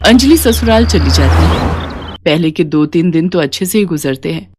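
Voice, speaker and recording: A woman's voice: on the low side, lively intonation, normal speed, over music. A woman storyteller reading a scripted moral tale.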